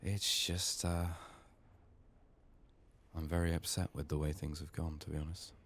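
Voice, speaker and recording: Male game streamer, extremely low pitch, soft-spoken ASMR delivery, strained sore voice, downbeat muttering, light noise with keyboard clicks. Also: little pitch movement, measured pace.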